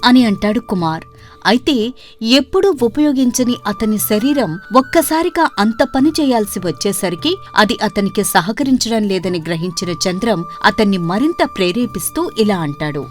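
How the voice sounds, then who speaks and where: A woman reading a scripted moral story as narrator, in an expressive storytelling delivery. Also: mid-range voice, normal speed. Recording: music behind the voice. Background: background music.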